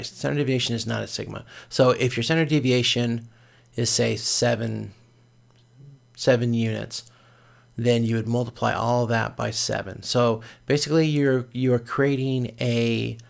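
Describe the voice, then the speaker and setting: Gravelly-voiced man explaining as an instructor. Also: medium pitch. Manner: hesitant, explanatory, often repeats and rephrases. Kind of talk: informal explanatory lecture, screen walkthrough.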